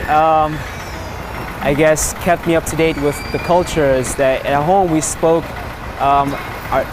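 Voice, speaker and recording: A man in his twenties from Indonesia, a native Sundanese speaker, telling his life story in a relaxed way, pausing often on fillers. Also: on the high side, background noise. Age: 20s. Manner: relaxed, frequent filler pauses. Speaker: interviewee. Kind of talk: casual personal storytelling.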